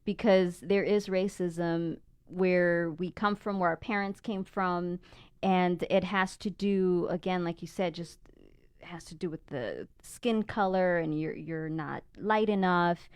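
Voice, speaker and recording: A woman co-hosting a talk radio interview, giving her views in a measured, earnest way. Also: fairly low pitch, steady tone, clean tone, no echo. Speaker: radio co-host.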